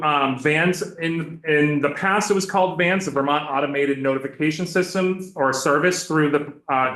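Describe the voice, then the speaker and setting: Loud guy giving committee testimony, lots of ums, echoey hearing room. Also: a little high. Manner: loud, hesitant with many fillers. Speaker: victim advocate testifying.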